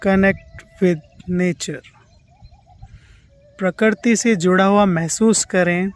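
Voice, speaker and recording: Indian meditation instructor, very slow delivery, mid-pitched voice, calm and soothing, long pauses. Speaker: yoga nidra meditation instructor. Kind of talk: guided relaxation meditation.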